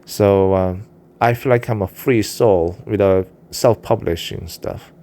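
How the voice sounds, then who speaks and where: A man's voice: fairly low pitch, measured pace, dark tone. Korean-accented man chatting to his cat. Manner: rambling, candid, frequent fillers and restarts. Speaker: vlogger and self-published writer. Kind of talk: casual monologue to his cat.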